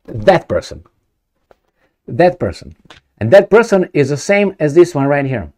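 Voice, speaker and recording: A man's voice: fairly high pitch, very animated, a little slow, darkish tone. Emphatic middle-aged man. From Romania. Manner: emphatic, repetitive, full of rhetorical questions. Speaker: video commentator. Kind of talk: casual to-camera commentary. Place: home study with bookshelves, indoors.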